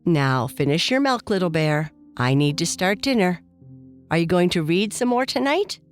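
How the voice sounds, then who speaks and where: A woman voicing a gentle, patient and loving father bear in a children's bedtime story, reassuring and playful. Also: fairly low pitch, animated, moderate pace, soft background music, lightly acted.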